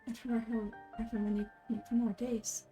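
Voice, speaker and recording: A woman voicing a gentle, frail and resigned young noblewoman in game dialogue, measured and formal. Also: on the high side, flat delivery, faint music behind, lightly acted. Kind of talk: amateur game dialogue voice-over.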